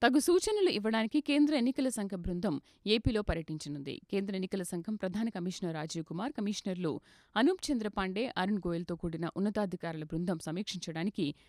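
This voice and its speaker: A woman's voice: mid-range voice, lively intonation, fairly quick, a little mellow, no echo, clean studio sound. A woman reads a scripted news bulletin as a news reader in a formal manner.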